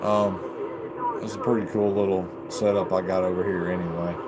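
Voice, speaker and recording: A man's voice: low-pitched, little pitch movement, normal speed. A man chatting casually in a rambling, folksy way as he hosts a live video from his basement radio room. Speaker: live stream host, CB radio hobbyist. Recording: very noisy home live stream.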